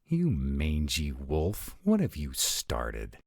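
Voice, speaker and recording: A man voicing a stern, formal old vampire prince in a measured roleplay audio drama. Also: very deep voice, huge pitch swings, vocal fry, darkish tone, dry recording, studio quality, exaggerated voice. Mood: angry.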